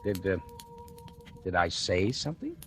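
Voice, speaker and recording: An actor playing a jealous, falsely genial scientist husband in 1940s radio drama style. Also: male voice, deep voice, wildly swooping pitch, measured pace, music in the background, heavily acted.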